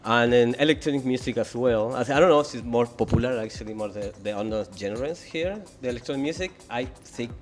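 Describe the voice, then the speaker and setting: A man with a Spanish accent hosting an interview in halting English, often searching for words. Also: medium pitch, faint music behind. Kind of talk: interview host.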